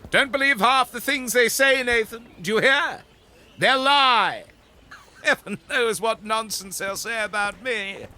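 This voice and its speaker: An actor playing a vain, blustering privateer captain in a period audio drama, emphatic and quick to take offence. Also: male voice, very high voice, bright, forceful tone, exaggerated voice. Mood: evasive, urgent. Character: vain, indignant pirate-hunting sea captain, self-styled privateer hero.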